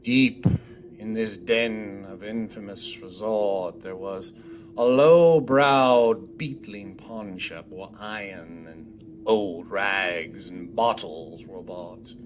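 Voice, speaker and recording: A man playing the solemn narrator of a ghost tale in a radio play, grave and deliberate. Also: lowish voice, slight background noise. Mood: grave, dramatic. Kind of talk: dramatic scripted narration.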